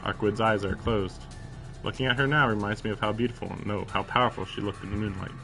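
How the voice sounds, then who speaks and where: A man's voice: fairly low pitch, fairly even intonation, fast talker, creaky, sharp tone, poor audio. Man streaming a visual novel, music behind. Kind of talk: reading game narration aloud with casual commentary. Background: game music.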